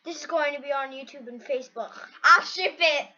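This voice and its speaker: A woman's voice: high voice, fairly quick, sharp tone. A woman acting out the characters of an amateur anime-style story in dramatized dialogue. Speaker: amateur voice actor for a fan-made anime story video. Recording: muffled lo-fi recording.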